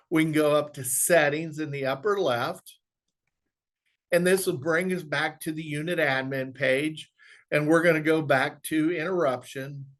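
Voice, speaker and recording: A man presenting an instructional software walkthrough, explaining step by step in a conversational way. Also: on the high side. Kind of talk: instructional screen walkthrough, informal webinar.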